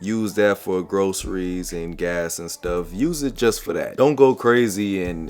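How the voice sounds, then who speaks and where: A man in his twenties talking casually to his viewers, giving relaxed, slangy advice and often repeating words while he thinks. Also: sits low. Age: 20s. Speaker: vlogger. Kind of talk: casual advice talk to camera.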